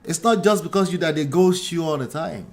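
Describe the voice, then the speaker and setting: A man from Nigeria, co-hosting a casual relationship chat in an animated, blunt way, repeating phrases for emphasis. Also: a little high, fairly quick. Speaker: talk show co-host.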